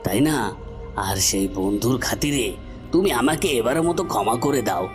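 A man's voice: medium pitch, lively intonation, normal speed, over music, lightly acted. A man voicing a cornered, treacherous villain in a cartoon, melodramatic, swinging between menace and pleading. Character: cornered treacherous male villain, threatening then begging for life.

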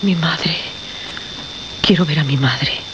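A muffled, noisy radio recording carries an entranced woman. She sounds dazed and full of anguished longing, halting and repeating herself in horror radio play style. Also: sits low. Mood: anguished trance. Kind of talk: Spanish radio horror drama acting.

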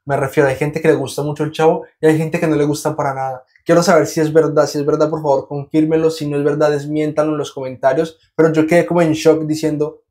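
A man's voice: on the high side, level tone, fast talker, few pauses, a little mellow. A Colombian man in his thirties hosting a reaction video, amused and laughing, talking straight to viewers on a lapel mic. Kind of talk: casual reaction commentary. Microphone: clip-on lapel mic.

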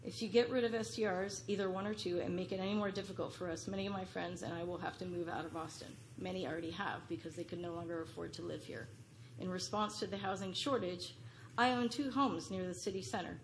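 A woman's voice: fairly low pitch, fairly even intonation, fast, background noise. A middle-aged woman reads an earnest, pleading prepared statement as a public commenter at a podium microphone in a large council chamber. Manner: earnest, pleading, read from notes.

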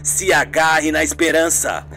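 A performer voicing a calm, devout, fatherly male doctor in a radio soap opera. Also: mid-range voice, measured pace, faint music behind, pitched below the performer's own voice. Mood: solemn, reassuring.

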